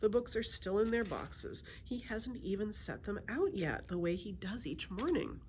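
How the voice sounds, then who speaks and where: A woman reading a children's story aloud in an expressive storytelling style. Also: medium pitch, moderate pace, slight background noise. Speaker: library story reader.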